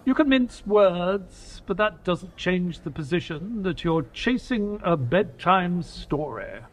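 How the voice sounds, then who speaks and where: A high-voiced, sceptical detective's sidekick. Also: male voice, slow, exaggerated voice. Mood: exasperated, sceptical. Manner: sceptical, expressive. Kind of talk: scripted audio drama dialogue and narration.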